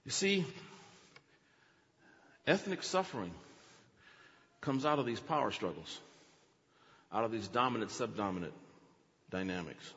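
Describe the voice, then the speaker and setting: A man's voice: fairly low pitch, lively intonation, unhurried, pauses often, fairly bright tone, long reverb tail, lo-fi sound. A man preaching a teaching sermon, dramatic, acting out quotes with big swings in his voice.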